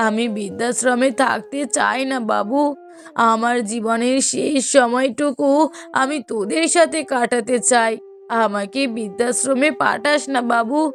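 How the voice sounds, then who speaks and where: A frail old mother from a cartoon moral tale, played by a woman, pleads slowly and humbly. Her high voice is plaintive and beseeching, over background music. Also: fairly bright tone. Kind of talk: cartoon moral story voice acting.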